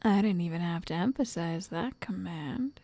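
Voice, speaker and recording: A woman playing a possessive, lovestruck witch who coaxes and controls in a soft, breathy, often whispered ASMR roleplay voice. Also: fairly low pitch, dry recording. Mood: gently commanding. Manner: slow, tender, condescending endearments.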